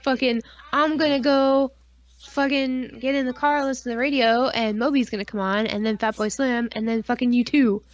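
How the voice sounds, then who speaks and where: Higher-voiced woman chatting, casual and sweary. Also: fairly even intonation, moderate pace. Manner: informal, sweary. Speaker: co-host of a podcast live stream. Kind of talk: casual chat.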